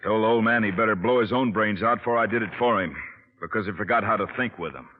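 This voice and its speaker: An actor playing a hot-tempered young ranch man accused of killing his father, in a defiant, gruff 1940s radio drama style. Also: lowish voice, quick pace, natural voice. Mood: bitter. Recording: tinny old radio broadcast with long hall echo.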